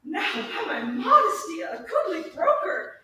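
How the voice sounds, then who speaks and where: A woman playing an impulsive, haughty, lovesick young gentlewoman in a theatrical Shakespearean staged reading, exclaiming and swinging between scolding and doting. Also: very high-pitched, very animated, unhurried, full character voice. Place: echoey room at a live staged reading. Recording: echoey room, distant mic, faint noise.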